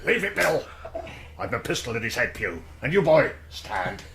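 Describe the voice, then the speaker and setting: Sly, swooping old sailor villain. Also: male voice, medium pitch, big pitch swings, normal speed, noisy background. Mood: threatening. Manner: wheedling, rough uneducated sailor talk. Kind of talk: radio drama acting.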